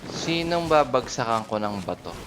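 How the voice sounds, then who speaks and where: A man's voice: mid-range voice, very animated, moderate pace, noisy background. A man giving casual gameplay reactions as a streamer, muttering short offhand remarks. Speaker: gaming streamer.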